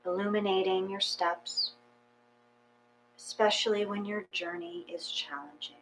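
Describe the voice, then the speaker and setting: A woman leads a guided meditation, reading at an unhurried pace with long pauses between phrases. Her voice sits at a middle pitch. A faint electrical hum runs under the recording. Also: low-quality recording. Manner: slow, calm, measured reading with long pauses.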